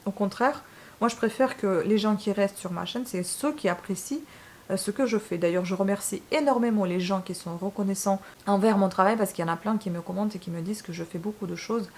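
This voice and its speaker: A woman with a Ukrainian accent speaks French in a casual vlog, addressing her viewers directly. She hesitates often, restarting sentences and filling gaps with "euh", and her tone is frank and a bit fed up. Her voice sits at a middle pitch for a woman. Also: brisk, darkish tone. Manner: hesitant, many fillers and restarts, frank and exasperated. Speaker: booktube channel host.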